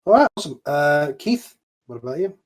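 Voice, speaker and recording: A man's voice: fairly high pitch, very animated, brisk. A man hosting a casual group chat online, talking informally and with enthusiasm. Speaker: host and series creator. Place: remote online voice call.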